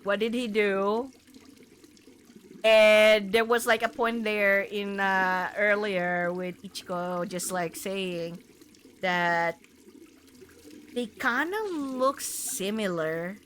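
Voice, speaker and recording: A woman game streamer chatting casually to her viewers in a rambling, halting way, full of filler words. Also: medium pitch, fairly even intonation, a bit bright, a little hiss or hum. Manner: rambling, halting, punctuated with "like" and "you know". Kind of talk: casual livestream chat to viewers.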